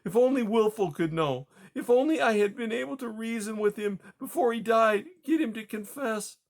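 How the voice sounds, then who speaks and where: Guy putting on a high weepy woman, stammering, falling apart in grief, audiobook style. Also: moderate pace. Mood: anguished. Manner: breathless, stammering, rising to hysteria. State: crying. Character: grieving distraught woman, tearful and pleading.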